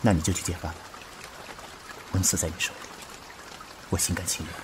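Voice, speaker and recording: Man acting very deep, resolute undercover officer. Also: little pitch movement, fairly quick, background noise, close to an everyday voice. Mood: resolute, grave. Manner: grave, restrained, resolute. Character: resolute young undercover Communist officer, calm martyr. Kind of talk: solemn Chinese radio drama acting.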